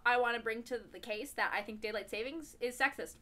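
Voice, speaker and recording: A woman's voice: fairly high pitch, a little fast, bright, forceful tone. A young woman co-hosting a podcast in casual chat with friends, giggly and opinionated. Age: young adult. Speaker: podcast co-host.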